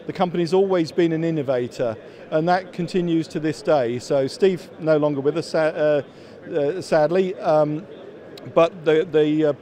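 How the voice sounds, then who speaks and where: A man's voice: a little high, moderate pace, warm tone. A man in his fifties explaining products as a company executive in a trade show interview, often restarting and repeating words. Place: busy exhibition hall. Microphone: handheld interview microphone held by interviewer.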